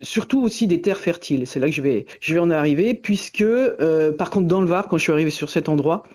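Female interviewee, middle-aged, from Normandy. Hesitant, thoughtful phrasing, mellow timbre, telling her farming life story. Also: sits low, expressive, fairly quick. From Normandy, France. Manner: hesitant, searching for words. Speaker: interviewee, farmer and forest garden founder. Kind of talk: radio interview, personal account. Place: on a telephone call for a radio show.

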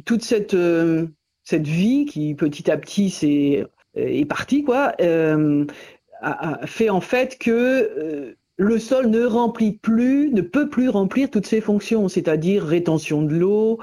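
Female interviewee, middle-aged, from Normandy. Hesitant, thoughtful phrasing, mellow timbre, telling her farming life story. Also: lowish voice, animated, unhurried. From Normandy, France. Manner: hesitant, searching for words. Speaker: interviewee, farmer and forest garden founder. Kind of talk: radio interview, personal account. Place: on a telephone call for a radio show.

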